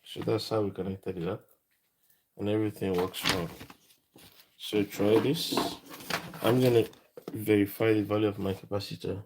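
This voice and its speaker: Gravelly, even-toned man giving a tutorial. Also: fairly low pitch, normal speed, pauses often, background noise. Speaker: presenter of a DIY electronics tutorial. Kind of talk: casual hands-on tutorial talk, explaining as he works.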